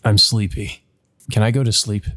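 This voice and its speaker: A man's voice: lowish voice, flat delivery, moderate pace, a bit bright, close to an everyday voice. A man voicing a dazed young male patient in a visual novel, in a plain, questioning way. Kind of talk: visual novel character read-aloud.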